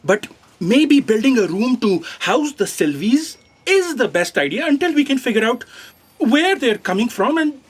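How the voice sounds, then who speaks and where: A woman's voice: fairly high pitch, sing-song, normal speed, slightly rough. A woman playing a sceptical, no-nonsense analytical aide, dry and exasperated, in an audio drama.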